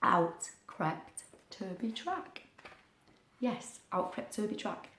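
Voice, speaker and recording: A woman reading a picture book aloud to young children, expressive, with chatty asides and questions. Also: mid-range voice, slow pace, a little hiss or hum. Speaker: children's story reader. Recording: roomy, echoing sound.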